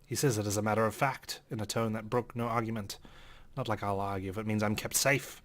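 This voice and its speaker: Low-voiced man narrating a game story. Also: fairly even intonation, fairly quick. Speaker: let's play host reading a visual novel aloud. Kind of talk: dramatic first-person story narration. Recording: music under the voice.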